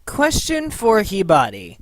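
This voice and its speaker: A man's voice: sits high, huge pitch swings, takes it slowly, no echo. A man hosting a fan Q and A with scripted, playful, theatrical announcing. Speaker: host of a fan Q and A.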